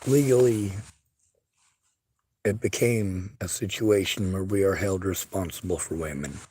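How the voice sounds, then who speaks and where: A man's voice: low voice, unhurried, warm tone, slightly rough. A man livestreaming, reading viewer chat and speaking bluntly and earnestly in a casual monologue. He has a stutter and a speech impediment.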